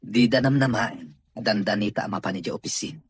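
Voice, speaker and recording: A man with a Filipino accent playing an ailing, commanding boss in a radio drama, with a husky, rough voice. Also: on the low side, a little fast, no echo. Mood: calm, decisive. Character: ailing male boss deciding on his business, commanding. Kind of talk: Ilocano radio drama acting.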